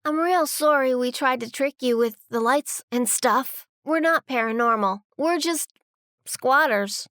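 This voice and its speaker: A woman's voice: high-pitched, a little slow, a bit bright, no room sound, studio quality. A woman playing an eerily cheerful, polite squatter in an audio drama, speaking with mannered, formal phrasing. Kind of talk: audio drama dialogue acting.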